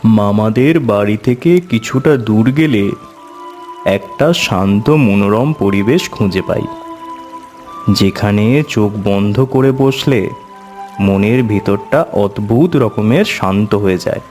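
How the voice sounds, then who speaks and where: A middle-aged man playing an avuncular tantric storyteller, narrating his eerie past in a measured, suspenseful way. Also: lowish voice, a little mellow, soft background music. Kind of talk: dramatic horror story narration.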